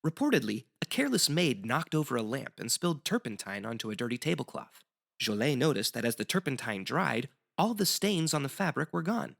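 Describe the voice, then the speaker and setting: A man's voice: mid-range voice, sing-song, fairly quick, gravelly, fairly bright tone. A man as a guest explaining history in semi-scripted educational banter, articulate and wry.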